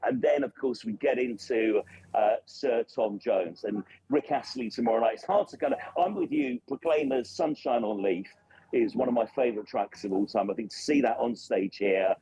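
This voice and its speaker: A man's voice: mid-range voice, normal speed, warm tone, slightly rough, no room sound, rough recording. A male radio presenter reporting live and enthusiastically from an outdoor race circuit, promoting a festival. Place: outdoors at a motor racing circuit. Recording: remote line, cars audible behind.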